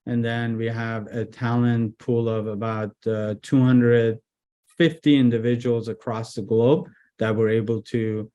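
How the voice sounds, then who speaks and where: A man originally from Iran, speaking as a business guest in a casual interview, relaxed with frequent fillers and restarts. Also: mid-range voice, measured pace, dull audio. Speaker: guest, IT executive director.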